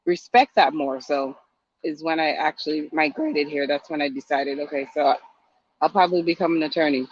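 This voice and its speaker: Hesitant low woman. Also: slight background noise. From Guyana. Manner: hesitant, halting, many ums. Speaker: guest attorney. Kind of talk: interview answers. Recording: dry, dull lo-fi audio.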